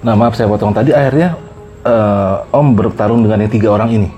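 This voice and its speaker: A man's voice: lowish voice, fairly quick, dark tone. A man hosting a casual interview, putting in short prompts and follow-up questions. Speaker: podcast host.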